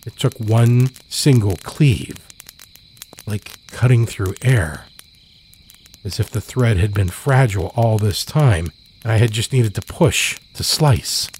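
A man's voice: on the low side, lively intonation, slow, a little mellow, a little hiss or hum. A man reading scripted narration as a storyteller and podcast host.

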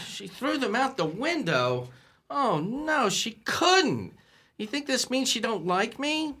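A man plays a lovestruck young fellow in an old-time radio comedy. He is bright and very animated in pitch, stammering through slangy boasts with naive nervousness. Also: slow pace, exaggerated voice. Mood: dejected, indignant. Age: young adult. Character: nervous, lovestruck, boastful twenty-one-year-old suitor.

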